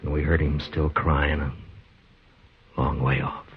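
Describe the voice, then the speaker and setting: An American actor playing a weary, firm but kind Old West marshal in a 1950s radio drama. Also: male voice, very deep voice, flat delivery, a little slow, heavy background noise. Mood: weary, narrating. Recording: muffled vintage 1950s radio, music behind.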